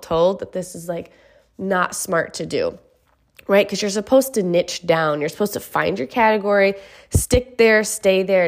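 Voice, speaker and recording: A woman author talking casually and earnestly about her writing, sounding a little stuffy from a cold, often checking in with the listener. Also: mid-range voice. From Michigan. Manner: chatty, earnest, frequent "right?" asides. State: stuffy nose. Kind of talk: casual chat.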